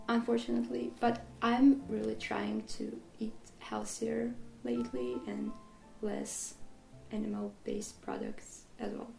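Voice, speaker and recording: A young Ukrainian-born woman with a Russian accent talks about herself in a casual vlog, her English slightly non-native in phrasing. She speaks slowly and evenly in a fairly high voice. The recording sounds echoey, with faint music underneath. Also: vocal fry, darkish tone. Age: 20s. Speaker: artist vlogger. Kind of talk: casual facts-about-me talk.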